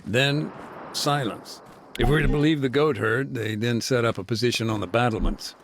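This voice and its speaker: An actor playing a weary male aid worker who recounts events in a measured, laconic way during an interview in a video game. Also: medium pitch, background noise, natural voice. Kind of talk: game cutscene dialogue.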